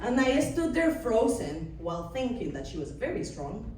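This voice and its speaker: A woman from Costa Rica with a Costa Rican Spanish accent, performing a wry, deadpan stand-up set in a comedy club. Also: fairly high pitch, moderate pace. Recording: far-off echoey room with background noise. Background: audience laughter and ambience.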